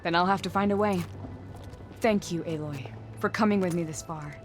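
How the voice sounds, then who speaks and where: A woman's voice: lowish voice, normal speed, soft background music, close to an everyday voice. An actress playing a determined young woman hunter in a video game, speaking earnestly. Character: proud young woman hunter, worried for a friend.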